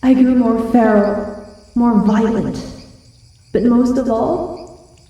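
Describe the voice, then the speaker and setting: A woman playing a proud, feral but soft-hearted giant wolf girl in an ASMR roleplay, with breathy, dramatic pauses. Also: a little high, muffled recording, soft background music. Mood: dark, menacing. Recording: very echoey, distant from the mic.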